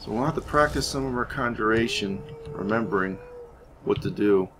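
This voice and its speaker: A man's voice: on the low side, moderate pace, background noise. A man giving casual, rambling game commentary, thinking aloud hesitantly as he plays. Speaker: gaming YouTuber narrating his playthrough.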